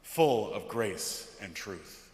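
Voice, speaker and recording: A man, a pastor, speaking solemnly and deliberately from a church pulpit in a liturgical setting. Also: on the low side, expressive, a little mellow. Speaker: Lutheran pastor. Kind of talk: liturgical scripture reading. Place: large church interior, from the pulpit. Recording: echoey church with long reverb.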